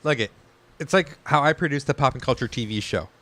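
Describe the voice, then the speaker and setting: A man of average pitch who co-hosts a casual podcast. He teases his partner, argues back readily and swears now and then. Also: fairly quick, pushed and bright. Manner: teasing, argumentative, swears. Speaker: podcast co-host and producer. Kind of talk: casual chat.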